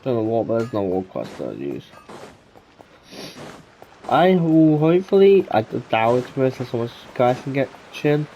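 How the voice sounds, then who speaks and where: Mellow, animated male gamer thinking aloud. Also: medium pitch, moderate pace, long pauses, a little hiss or hum. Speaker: gaming streamer. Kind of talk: casual game commentary.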